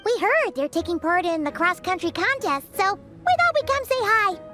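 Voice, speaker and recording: A woman voicing a tiny, cheerful, chatty fairy companion in a video game, with a squeaky childlike voice. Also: very animated, moderate pace, a bit bright, heavily acted. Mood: friendly, curious. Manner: bubbly, emphatic. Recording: music behind the voice.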